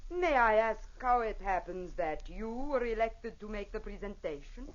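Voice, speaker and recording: A woman's voice: mid-range voice, expressive, moderate pace, natural voice. Woman acting elegant italian madame, italian accent, formal n theatrical, dull old radio recording. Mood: gracious, charming. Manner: gracious, formal, theatrical phrasing. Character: elegant Italian madame, secret mother, charming and impassioned.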